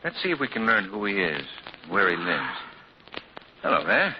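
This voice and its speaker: An actor playing a suave, wealthy young man about town in a 1940s radio drama, relaxed, teasing and assured, on a muffled old broadcast recording. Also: lowish voice, moderate pace, noisy background. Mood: calm.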